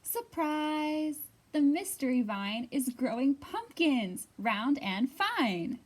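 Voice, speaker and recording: Woman, children's librarian, storytime read-aloud, expressive sing-song delivery, unhurried pace, light voice. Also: high voice.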